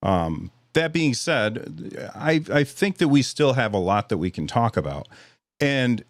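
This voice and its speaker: A man hosting a live podcast in casual chat, a little hoarse, rambling and self-deprecating with frequent restarts. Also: mid-range voice, dry recording. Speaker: podcast host.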